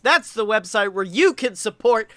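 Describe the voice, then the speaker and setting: A young man co-hosting a podcast in casual comedic chat, animated, joking and freely swearing. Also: high voice, normal speed, dry recording. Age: young adult. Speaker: podcast co-host. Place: bedroom-like room at home, video call.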